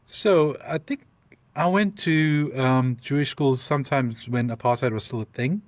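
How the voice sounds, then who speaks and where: A South African man, a podcast guest, talking through his story in halting restarts and frequent ums. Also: mid-range voice, no echo. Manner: thoughtful, speaks in halting restarts with many ums. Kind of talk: conversational interview.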